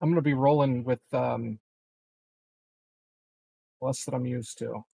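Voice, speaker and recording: A man's voice: medium pitch, steady tone, a little slow, gravelly, warm tone, a little hiss or hum. A man chatting casually as a player in a tabletop roleplaying game, laid-back and a little hesitant.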